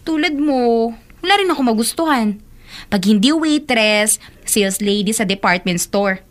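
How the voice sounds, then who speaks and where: A woman's voice: on the high side, expressive, normal speed, natural voice. A woman playing a patient, frank young older sister in a Filipino radio drama, with a Filipino accent. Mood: resigned, chatty.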